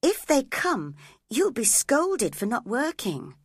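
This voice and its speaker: A woman voicing a volatile young woman who is imperious and quick to anger. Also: on the high side, huge pitch swings, husky, no room sound, clean studio sound, voice pushed up, heavily acted. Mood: coaxing.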